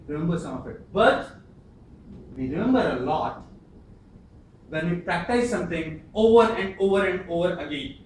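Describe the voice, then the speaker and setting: Guy giving a club speech, kinda high voice, faint hiss, stops a lot and leans on words. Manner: earnest, emphatic, pausing often. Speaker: club speaker. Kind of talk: prepared speech. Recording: echoey room, distant mic, faint hiss.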